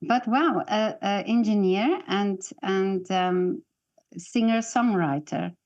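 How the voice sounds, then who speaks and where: A woman's voice: medium pitch, slow, a little mellow, muffled recording. A woman hosting an interview over a video call, enthusiastic and encouraging toward her guest. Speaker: interview host.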